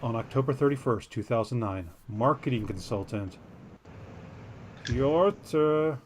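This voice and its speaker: A man reads a story out loud for his podcast partner, stopping often for joking, easily sidetracked asides. His voice sits at an ordinary middle pitch. A faint hum of room ambience runs underneath. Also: lively intonation, measured pace. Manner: jokey, easily sidetracked, self-deprecating. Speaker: podcast co-host. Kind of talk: reading a news story aloud with casual asides.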